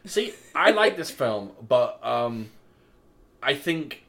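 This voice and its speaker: A man hosting a casual film review podcast, animated and quick to laugh. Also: medium pitch, gravelly. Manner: animated, laughing, jumps in often. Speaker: podcast host.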